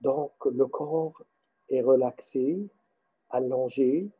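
Very slow, husky male meditation guide. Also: medium pitch, muffled recording. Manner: halting, pausing between short phrases.

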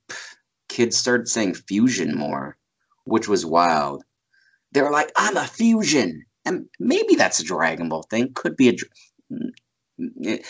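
A man in his thirties, a voice actor guest, chatting casually over a video call from a small room at home, rambling with fillers and laughs. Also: medium pitch. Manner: rambling, laughs often, many fillers and restarts. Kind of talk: casual interview chat. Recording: muffled video call audio.

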